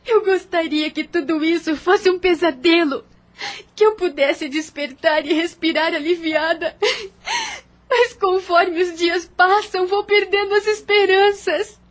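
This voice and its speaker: Woman voicing an anxious young sister, very high voice, pleading, worried, audio drama. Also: steady tone, measured pace. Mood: tearful.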